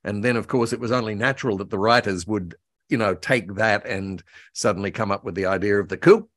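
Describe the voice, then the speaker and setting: An older Australian man reminisces in an easy, enthusiastic way. His voice sits a little low, and the sound is dry, with little room in it. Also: brisk, fairly bright tone, no room sound. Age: older, about 70. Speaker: interview guest, voice actor and author. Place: room at home, on a video call.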